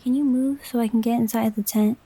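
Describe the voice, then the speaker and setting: A woman's voice: a little high, steady tone, brisk, warm tone, breathy edge. A woman playing an eager, helpful young daughter in an audio drama.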